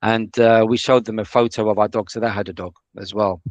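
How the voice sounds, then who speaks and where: A man's voice: fairly low pitch, fairly even intonation, fairly quick, dry recording, dull audio. A man from the United Kingdom, a language student, talking in a casual interview, hesitant with lots of fillers and restarts. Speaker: student guest.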